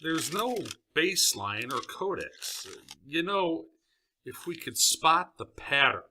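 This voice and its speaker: A man's voice: on the high side, very animated, slow pace, pushed and bright, a little hiss or hum, full character voice. A man playing an earnest, deferential military cryptology officer in an audio drama. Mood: earnest, puzzled.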